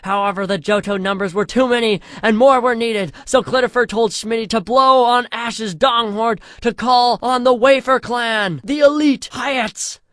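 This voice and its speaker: A storyteller giving a comedic reading of a crude parody fan story in a mock-epic way, shouting the dialogue and dropping low for the asides. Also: normal speed, hardly any pauses, no echo, clean studio sound.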